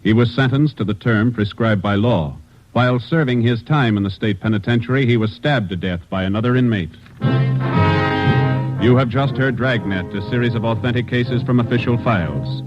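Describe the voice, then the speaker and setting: A male announcer reading scripted radio copy in a formal, measured, declamatory way. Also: medium pitch. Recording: dull 1950s radio broadcast with music.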